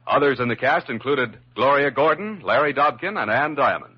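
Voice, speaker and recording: A man's voice: mid-range voice, animated, normal speed, breathy edge. A man announcing an old-time radio drama, reading a script in 1940s broadcast style. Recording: dull vintage radio recording. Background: faint music.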